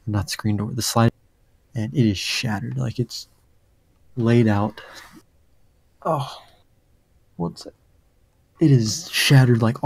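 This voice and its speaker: Young guy with a deep mellow voice rambling thru a story on a podcast, dry sound. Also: no echo. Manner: rambling, many "like" fillers. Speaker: podcast host. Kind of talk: casual storytelling.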